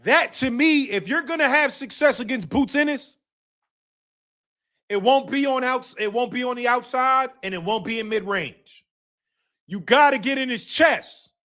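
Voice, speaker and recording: Bright, high-voiced man ranting emphatically. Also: a little slow. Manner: emphatic, repeats himself, profane, bursts into shouts. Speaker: host of a live boxing talk stream. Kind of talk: casual live-stream commentary.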